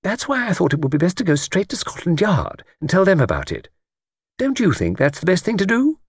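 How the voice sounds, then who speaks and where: A man voicing a gentle, chatty old English lady in an audiobook, with a thin, quiet voice and a hesitant, rambling, genteel manner. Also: high-pitched, big pitch swings, fairly quick, husky, no echo, clean studio sound, pitched above the performer's own voice, heavily acted. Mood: gently earnest. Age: older. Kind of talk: audiobook character reading.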